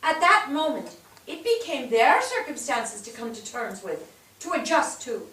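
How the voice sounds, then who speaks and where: Lady acting as stern afterlife judge, high lively voice, scolding and probing, far from mic in echoey theatre. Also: unhurried, full character voice. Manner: probing, reproachful. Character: stern afterlife judge reviewing a dead man's life. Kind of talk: staged reading of a period drama. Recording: echoey theatre, distant microphone.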